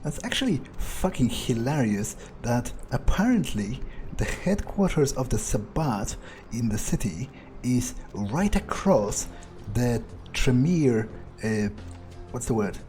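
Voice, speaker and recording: A man's voice: medium pitch, very animated, slow, a little mellow. A man doing an in-character game playthrough, musing aloud with plenty of swearing and hesitant phrasing. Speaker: gamer playing in character. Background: game music.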